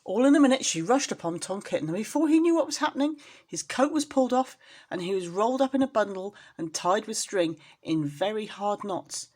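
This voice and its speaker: A woman storyteller reading expressively to young children, in an engaging, animated manner. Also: mid-range voice, fairly quick. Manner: animated, addresses young listeners with questions.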